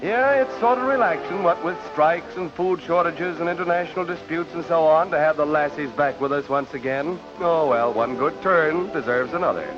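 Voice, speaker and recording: A man's voice: fairly high pitch, normal speed, few pauses. An American man giving light 1940s newsreel commentary in a jaunty, folksy, playful way. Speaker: newsreel narrator. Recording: dull 1940s newsreel soundtrack. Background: music.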